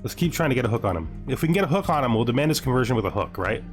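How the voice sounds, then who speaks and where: A man's voice: mid-range voice, sing-song, very fast, faint music behind. A man giving casual gaming commentary, thinking aloud and asking himself questions as he weighs his choices. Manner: asks himself questions, muses over choices. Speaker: gameplay host.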